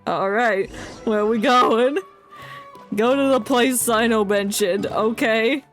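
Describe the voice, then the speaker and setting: A woman's voice: on the high side, slow pace. A woman game streamer giving casual, playful live commentary with joking asides and reading dialogue aloud. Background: game music.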